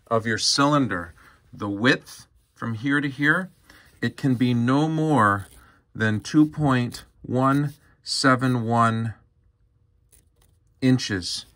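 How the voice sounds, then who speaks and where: A man with a bright, forward voice talks through a practical tutorial. His delivery is casual and methodical, going one step at a time, recorded indoors at a workbench. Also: medium pitch. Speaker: presenter of a hands-on tutorial. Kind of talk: casual instructional demonstration. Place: indoor workshop bench.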